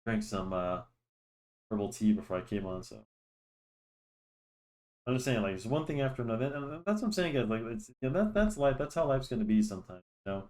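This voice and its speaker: A man's voice: medium pitch, expressive, a little fast, long pauses, darkish tone. A young man chatting casually while he draws, rambling with many restarts and fillers, and he sounds slightly nasal from a cold. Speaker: drawing channel host. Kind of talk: casual chat while drawing. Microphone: desk microphone.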